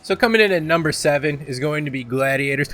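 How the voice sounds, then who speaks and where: A man's voice: on the high side, expressive, quick pace, background noise. Casual young-sounding man hosting a gaming countdown. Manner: profane, joking, exaggerating; belts out game voice lines. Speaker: gaming video host. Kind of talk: casual top-ten countdown commentary.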